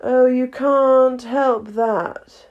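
A woman voicing a languid, mad, grinning cat from a storybook, with slow, drawn-out words. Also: high-pitched, fairly even intonation, a little mellow, highs cut off. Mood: calm, teasing. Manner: slow, drawn-out, unhurried delivery. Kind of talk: storybook character reading.

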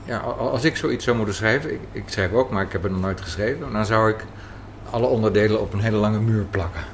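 A man's voice: lowish voice, fast. A man interviewing someone informally, putting curious, probing questions. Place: café. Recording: faint background room noise.

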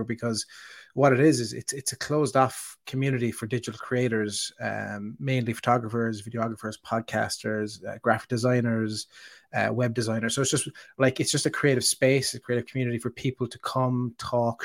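Rambling man hosting an online chat. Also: mid-range voice. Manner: rambling, many fillers and restarts. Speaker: host. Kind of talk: casual interview chat.

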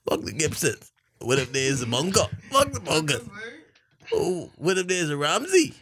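A young man with a Bahamian accent co-hosting a podcast, telling stories in an animated, teasing way. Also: a little high, normal speed, crowd noise. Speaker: podcast co-host. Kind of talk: casual chat.

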